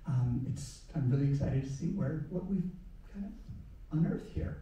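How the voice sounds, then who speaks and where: Man, moderator, dark mellow voice, casual halting talk, far off in a roomy hall. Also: mid-range voice, a little hiss or hum. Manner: relaxed, many ums and uhs. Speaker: panel moderator. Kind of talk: casual panel introduction. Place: museum auditorium stage. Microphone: handheld mic, far camera. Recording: echoey hall, heard from afar.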